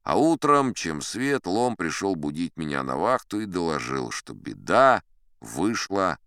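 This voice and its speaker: A man with a low, resonant voice narrates an adventure tale. His delivery is theatrical and highly varied in pitch, with dramatic pauses that shape each phrase like a stage storyteller. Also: sing-song, fairly bright tone, clear, resonant tone, no echo, studio quality. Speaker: audiobook narrator. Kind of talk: expressive scripted adventure storytelling in first person.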